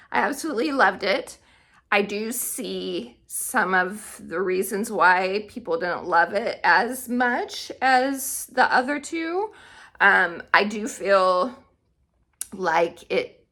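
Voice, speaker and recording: A woman with a bright, forward voice speaks at an unhurried pace. She chats casually to her viewers like a book vlogger, with open enthusiasm in how she talks. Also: on the high side. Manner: chatty, enthusiastic. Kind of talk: casual chatty book review to camera.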